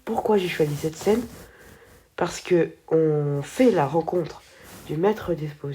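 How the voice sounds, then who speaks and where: Teen girl host with a stuffy cold; low, mellow voice, chatty and a bit stumbling. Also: slow, slight background noise. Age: teens. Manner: chatty, trips over words and restarts. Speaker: film video co-host. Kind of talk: casual video commentary.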